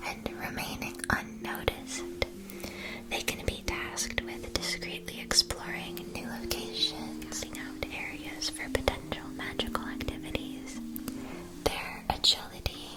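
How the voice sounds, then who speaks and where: A woman playing a gentle, attentive witch shopkeeper in a scripted ASMR roleplay, whispering soft, measured, soothing explanations. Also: close to an everyday voice. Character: gentle, attentive witch school supply shopkeeper advising a student.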